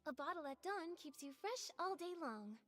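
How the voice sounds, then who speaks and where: A woman playing a gentle, curious young heroine in a video game, speaking politely and thoughtfully. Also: very high voice, lively intonation, normal speed, faint music behind.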